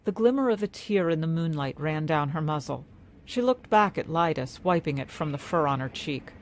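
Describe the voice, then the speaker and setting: A woman storyteller reading scripted fantasy narration in a measured, dramatic way. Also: low-pitched, lively intonation, a little hiss or hum. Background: music.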